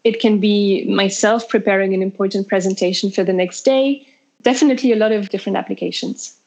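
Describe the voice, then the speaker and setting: A woman with a French accent talks through a product's benefits point by point. Her voice sits at an ordinary middle pitch, and she pads her explanations with small fillers. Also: fairly even intonation, fairly quick, vocal fry, a little mellow, poor audio. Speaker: product representative. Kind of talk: interview answers.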